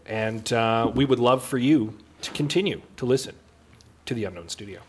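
A man's voice: mid-range voice, lively intonation, normal speed, a little mellow, a little hiss or hum. A man co-hosting a podcast, speaking in a dryly jokey, mock-formal presenter's manner. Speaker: podcast co-host. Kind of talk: casual hosting and scripted sign-off.

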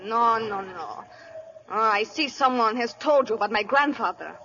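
An actress playing a melodramatic young heiress guarding a fortune, speaking in a theatrical, intense way in a 1930s radio play. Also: female voice, on the high side, moderate pace, bright, forceful tone, lo-fi sound. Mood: dramatic. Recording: scratchy 1930s radio broadcast.

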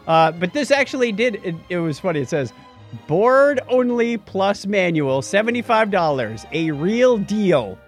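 A man's voice: high-pitched, big pitch swings, a little slow, soft background music. A man hosting a podcast, chatting casually and playfully about tech history. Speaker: podcast host.

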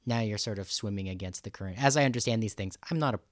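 A man hosting a podcast interview in a conversational style, halting and rambling as he thinks aloud. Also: lowish voice, no echo, clean studio sound. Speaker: podcast host and radio producer.

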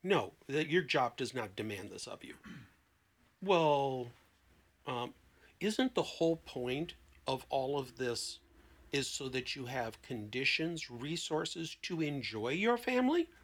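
A man speaking conversationally as a therapist on a podcast panel, blunt and emphatic, with deliberate pauses and rhetorical questions. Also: medium pitch, very animated. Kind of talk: conversational podcast talk with acted-out client dialogue.

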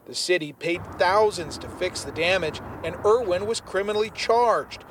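A male news reporter with a notably high voice reads a scripted report in a measured way. There is faint noise behind him.

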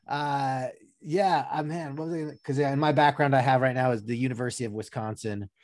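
A man's voice: medium pitch, steady tone, brisk. A man from Long Island hosting a casual conversation, rambling and breaking off his own sentences, often laughing. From Long Island, New York.

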